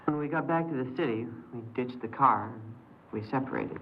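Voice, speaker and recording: An actor playing a frightened, remorseful fifteen-year-old runaway boy in a 1950s radio crime drama, speaking haltingly. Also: mid-range voice, lo-fi sound, background noise, close to an everyday voice. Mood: subdued, halting. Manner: hesitant, halting, stammering.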